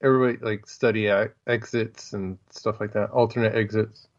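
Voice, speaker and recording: A man's voice: on the low side, fairly even intonation, a little fast. A man co-hosting a casual podcast chat, agreeable and given to brief interjections. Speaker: podcast co-host. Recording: dull lo-fi microphone.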